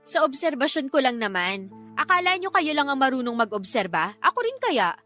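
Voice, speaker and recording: Radio drama role: defensive, sassy young Filipino niece, high and bright, light music underneath. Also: female voice, very animated, moderate pace, heavily acted. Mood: insolent, sassy. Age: young adult. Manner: sulky, argumentative, sassy. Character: insolent, defensive young niece working in uncle's shop. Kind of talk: Tagalog radio drama acting.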